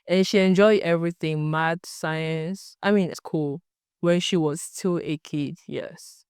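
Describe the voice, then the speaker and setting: Young Cameroonian woman, French accent, very smooth clear voice, mid pitch, hesitant and searching for words, dry close recording. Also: steady tone, slow, darkish tone, no echo. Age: young adult. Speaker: student researcher. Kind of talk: documentary interview answers.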